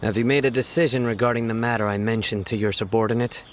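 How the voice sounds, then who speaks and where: An actor playing a stern, aloof young general in a video game, terse and commanding. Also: male voice, mid-range voice, fairly even intonation, fast talker, slight background noise, close to an everyday voice. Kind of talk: video game cutscene acting. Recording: music behind the voice.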